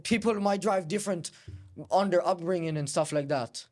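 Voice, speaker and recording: A man's voice: high voice, moderate pace, darkish tone. A man hosting a casual debate, asking needling questions in a hesitant, stop-and-start way. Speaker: host, debater. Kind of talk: confrontational casual debate interview.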